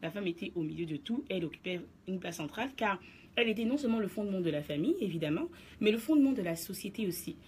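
A woman's voice: mid-range voice, animated, fast talker, a little mellow, a little hiss or hum. A woman giving her radio column, explaining her position to listeners in an assertive, lecturing way. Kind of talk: radio commentary segment. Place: radio studio.

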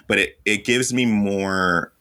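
A man's voice: lowish voice, takes it slowly, pushed and bright. A man hosting a casual recap podcast, talking in a rambling, emphatic way and circling back over his points. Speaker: podcast host.

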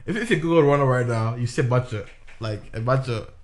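A man from Ghana talks casually, his voice sitting at an ordinary middle pitch. He rambles a little, circling back with fillers and restarts. The tone is relaxed, like friends talking. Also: fast talker, slight background noise. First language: a Ghanaian language. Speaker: interviewee. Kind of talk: casual conversation.

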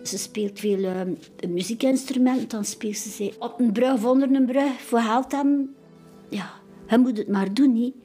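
A woman's voice: fairly high pitch, normal speed, dark tone, soft background music. A woman with a Flemish accent, an art collector, talking enthusiastically in a rambling way, full of fillers. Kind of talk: casual interview chat.

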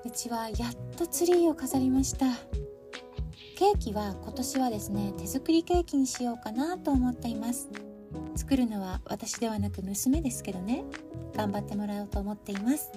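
A woman's voice: fairly high pitch, animated, normal speed, music in the background. A middle-aged woman hosting a podcast alone, chatting politely and speaking directly to her listeners. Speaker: podcast host introducing picture books. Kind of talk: chatty solo podcast talk.

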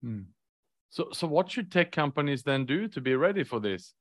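A man's voice: fairly high pitch, big pitch swings, moderate pace, no room sound, studio quality. A man hosting an interview podcast, opening with a scripted introduction and then asking friendly, conversational questions. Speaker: podcast host.

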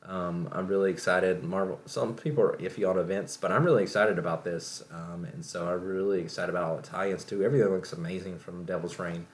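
Deep-voiced man rambling in a roomy space. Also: steady tone. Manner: rambling, halting, filler-heavy. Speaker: podcast host. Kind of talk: casual solo pop-culture recap. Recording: roomy echo, faint background noise.

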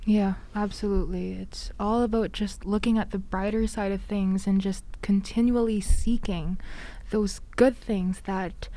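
A woman's voice: medium pitch, steady tone, unhurried, warm tone, rough recording. A woman co-hosting a faith podcast, speaking earnestly and guiding the conversation. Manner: earnest, affirming, steers the talk. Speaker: podcast co-host. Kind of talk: casual faith podcast chat.

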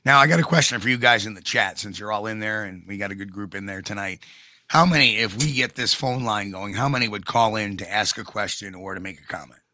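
A man with a New York accent co-hosting a casual live chat show, talking in a folksy, blunt way with drawn-out emphasis. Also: fairly low pitch, sharp tone, no echo. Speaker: co-host.

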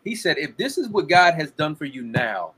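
A young man as a guest, chatting casually and acting out the lines he quotes in lively voices. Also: fairly high pitch, moderate pace, breathy edge. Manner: animated storyteller, acts out quotes. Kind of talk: casual interview talk. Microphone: video call.

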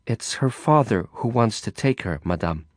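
A man's voice: medium pitch, expressive, measured pace, no room sound. A man doing a blunt, cynical woman. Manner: terse, muttering, hushed.

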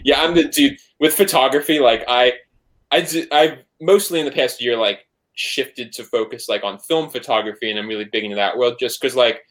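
Dude rambling on a podcast, says like constantly, swears casually. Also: male voice, mid-range voice, moderate pace, fairly bright tone. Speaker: podcast guest, band member and photographer. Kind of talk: casual conversational interview.

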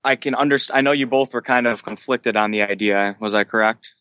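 A man's voice: mid-range voice, a little fast, sharp tone, smooth, no echo. A man in his late twenties, a band vocalist, answering casually as an interview guest. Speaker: guest, band vocalist who sings and screams.

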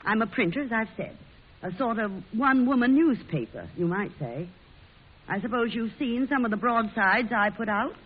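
A woman's voice: on the high side, lively intonation, breathy edge. Feisty woman printer, very muffled old radio. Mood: brisk, direct. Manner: brisk, plain-spoken, folksy. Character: feisty, blunt 19th-century woman printer and newspaper publisher. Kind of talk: old-time radio drama acting.

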